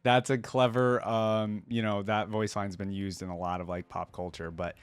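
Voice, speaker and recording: A man's voice: fairly low pitch, level tone, moderate pace, clear, resonant tone. A man hosting a reaction video, commenting casually with brief, musing interjections and repeating bits of what he hears.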